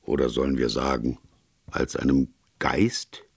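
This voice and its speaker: A man's voice: a bass voice, level tone, a little slow, gravelly, slightly rough, dry recording, studio quality. A man reading scripted literary narration as a storyteller.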